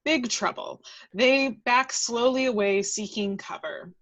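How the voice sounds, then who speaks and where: A woman's voice: on the high side, slow pace, a bit bright, muffled recording. A woman reading scripted action descriptions as the narrator of a table read. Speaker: stage-direction reader.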